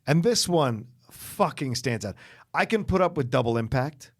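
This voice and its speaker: A man's voice: medium pitch, lively intonation, moderate pace. A man co-hosting a casual chat, speaking emphatically and swearing freely. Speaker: co-host of a movie list show.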